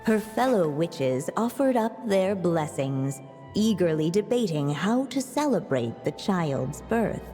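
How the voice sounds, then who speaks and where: An actress playing a wry storybook narrator in a game, measured and theatrical, with a gently teasing manner. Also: female voice, fairly low pitch, very animated. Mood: storytelling. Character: wry, unhurried storybook narrator, adult woman. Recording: long reverb, music behind.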